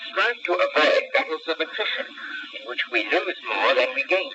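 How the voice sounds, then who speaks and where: A man's voice: a little high, very animated, moderate pace. A man reading scripted propaganda news in a formal, declamatory style on a 1960s shortwave radio broadcast. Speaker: radio newsreader. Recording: muffled 1960s shortwave radio recording, faint noise.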